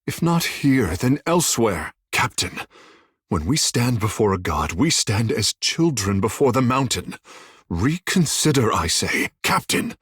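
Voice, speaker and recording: A man's voice: mid-range voice, very animated, a little slow, fairly bright tone, husky, dry recording, studio quality, heavily acted. An actor playing a vain, theatrical, flirtatious godlike fish-man in a fantasy video game, speaking in lofty, formal phrases. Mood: earnest, pleading. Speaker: player's companion character.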